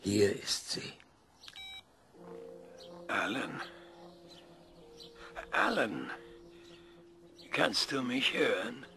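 An actor playing an obsessive older male scientist who questions an AI in a calm, measured, probing tone, in a radio play. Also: mid-range voice, creaky, long reverb tail, background noise, heavily acted.

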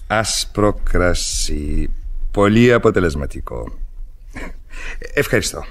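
An actor in a radio play as a fussy, sceptical police inspector, courteous but officious and a little hesitant. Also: male voice, low voice, expressive. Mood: fussy, polite. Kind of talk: radio theatre acting.

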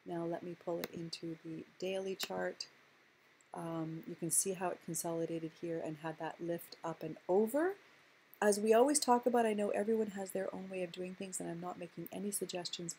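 A woman hosting a screen-recorded stock chart walkthrough, explaining in a measured way with frequent ums and uhs. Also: fairly low pitch, warm tone, slight background noise, rough recording. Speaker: stock chart analyst host. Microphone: voice-over on screen recording.

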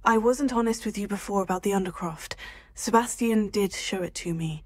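A woman playing a calm, persuasive teenage student witch in a video game, a slightly husky voice and a polite, measured manner. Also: medium pitch, natural voice. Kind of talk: dramatic video game voice acting.

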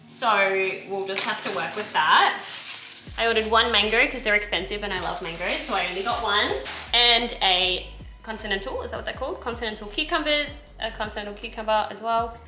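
A woman's voice: mid-range voice, fairly quick, over music. Chatty Australian woman in an echoey kitchen. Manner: chatty, rambling with self-corrections. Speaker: vlogger. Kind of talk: casual grocery haul chat. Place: home kitchen indoors. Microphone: camera on counter. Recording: echoey kitchen with background noise.

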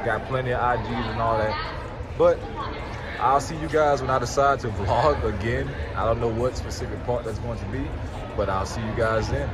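A man's voice: mid-range voice, normal speed, over loud music. Young man rambling into his phone. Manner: loose, rambling, repeats himself. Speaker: vlogger. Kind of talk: casual vlog recap. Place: convention venue, late at night. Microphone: phone at arm's length.

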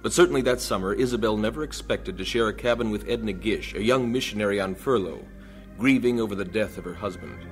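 Male narrator, slightly low voice, steady and measured, soft music bed. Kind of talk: scripted narration. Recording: faint music underneath.

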